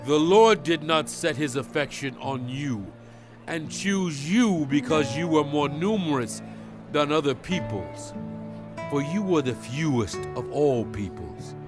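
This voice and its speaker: An actor playing a solemn, commanding prophet in a dramatized audio Bible, stern and fervent, speaking with deliberate, emphatic oratory. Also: male voice, a little high, lively intonation. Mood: solemn, tender. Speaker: dramatized scripture narrator. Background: music.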